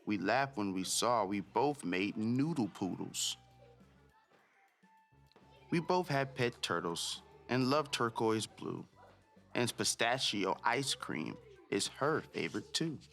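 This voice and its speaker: Expressive man reading to children, lively pitch. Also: takes it slowly, gravelly, soft background music. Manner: expressive, addressing listeners directly. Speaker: host of a children's read-aloud show. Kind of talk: children's storybook narration and chat to young listeners.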